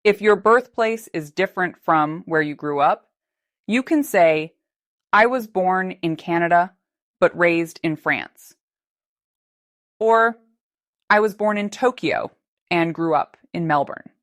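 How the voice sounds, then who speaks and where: A woman teaching English in a slow, unhurried voice, with each word spelled out clearly for learners. She sits on the lower side of pitch for a woman and sounds calm and steady. The voice-over is dry and close, with almost no room sound. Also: no echo. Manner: slow, careful, clearly articulated teaching delivery. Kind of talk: scripted English lesson narration.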